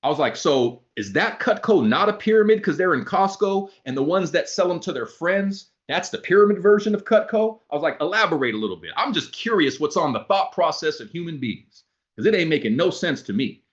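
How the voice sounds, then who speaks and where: Lively male motivational speaker, lo-fi sound. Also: on the high side, fairly quick. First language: not English. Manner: animated, acts out both sides of conversations. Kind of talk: motivational talk with storytelling. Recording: dull lo-fi sound.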